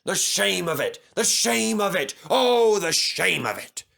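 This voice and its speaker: Man as a big, pompous, slow-spoken express engine. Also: high-pitched, sing-song, creaky, sharp tone, pitched above the performer's own voice, full character voice. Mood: indignant, grumbling. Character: big, proud, boastful, grumbling male express engine.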